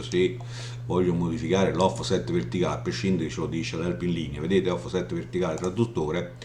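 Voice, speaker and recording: Man, deep register, informal screen demo, hesitant explanatory delivery, echoey indoor room, light hum noise. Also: a little mellow. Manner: halting, explanatory, frequently checking with "ok?". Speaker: software tutorial presenter. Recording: roomy echo, audible background hum noise.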